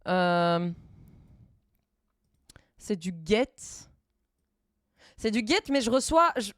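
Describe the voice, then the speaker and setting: A woman streamer chatting casually while she codes live, thinking aloud in halting bits and dropping in English coding terms. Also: mid-range voice, very animated, no room sound, studio quality. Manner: thinks aloud, halting, many fillers and restarts.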